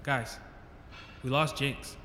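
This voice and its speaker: Troubled scientist, reverberant hall. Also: male voice, mid-range voice, fairly even intonation, takes it slowly, sharp tone. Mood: guilty. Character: troubled, remorseful male scientist on a team. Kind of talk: audio drama acting. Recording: echoey hall, faint noise.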